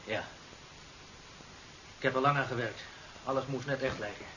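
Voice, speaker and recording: An actor playing a nervous, submissive technician in a 1970s radio drama, halting and hesitant. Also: male voice, mid-range voice, steady tone, pushed and bright, close to an everyday voice. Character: nervous, submissive male technician, faltering and aggrieved. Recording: dull, hissy 1970s radio recording.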